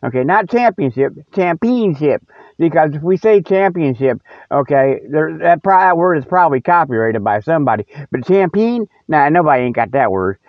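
A man's voice: fairly high pitch, lively intonation, moderate pace, no room sound. A man hosting a humorous mock newscast, rambling in a casual, folksy, colloquial and jokey way. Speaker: host of a humorous mock news show. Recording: narrow phone-like sound, dry.

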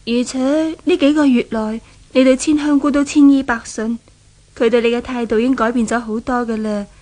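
A woman plays a caring female companion in a 1970s radio drama. The voice is high and gentle, with a persuasive, reassuring delivery in period acting style. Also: steady tone, brisk, close to an everyday voice. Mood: coaxing, reassuring.

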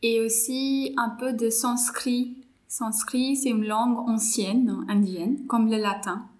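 Slow, hesitant young woman, native Marathi speaker. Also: fairly high pitch, steady tone, darkish tone. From India. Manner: hesitant, thinking aloud with frequent pauses. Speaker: psychology master's student and volunteer mentor. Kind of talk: casual interview chat.

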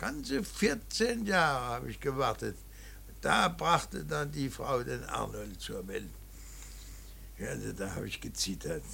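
A man acting in a radio drama as an earnest, preachy older painter and father, emphatic, repetitive and halting. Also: mid-range voice, sing-song, bright, forceful tone, slight background noise, full character voice. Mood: reverent, moved. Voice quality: gravelly, husky. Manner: emphatic, repeating words, halting.

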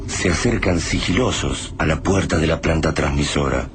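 A man narrating a radio drama in a slow, solemn, measured way. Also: very deep voice. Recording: noisy recording.

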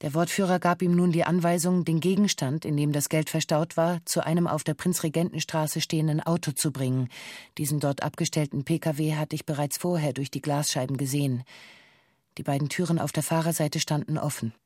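A slightly low female voice gives a hostage's witness statement. She is sober and exact, with formal, official wording. The recording is dry, as in a documentary radio play. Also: fairly even intonation, fairly quick, mellow, dry recording. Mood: sober recounting. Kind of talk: documentary radio drama, reading a police testimony.

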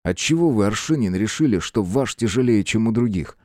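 A man reading a composed, stern male investigator in an audiobook, measured, firm and unsentimental. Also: lowish voice, expressive, a little mellow, no echo, clean studio sound, close to an everyday voice. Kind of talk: dramatic audiobook character reading.

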